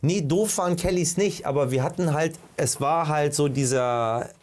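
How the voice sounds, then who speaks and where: A man in his thirties, an interviewer, talking in a relaxed way with many fillers and restarts. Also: on the high side, normal speed, a little hiss or hum. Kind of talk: casual interview chat.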